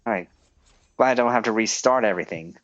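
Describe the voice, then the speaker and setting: A man's voice: lowish voice, fast talker, a bit bright, no room sound, dull audio. A man giving casual live commentary while streaming a game.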